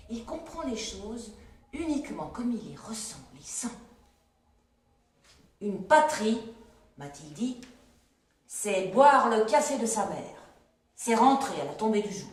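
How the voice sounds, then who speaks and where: A woman giving a measured, earnest poetry recitation. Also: medium pitch, slight background noise. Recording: echoey and distant, faint ambience.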